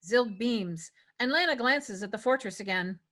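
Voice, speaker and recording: A woman's voice: on the high side, expressive, normal speed, a bit bright. A woman reading stage directions as scripted narration at a table read.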